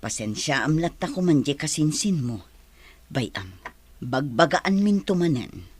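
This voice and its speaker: An actress playing a gentle, apologetic middle-aged aunt in a radio drama, soothing and motherly. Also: deep voice, very animated, a little slow, husky, heavily acted.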